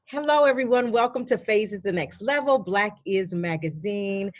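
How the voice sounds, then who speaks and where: A woman hosting an interview in an effusive, chatty way with many pauses and fillers. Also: mid-range voice, animated, a little mellow. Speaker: host. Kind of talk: warm upbeat interview chat.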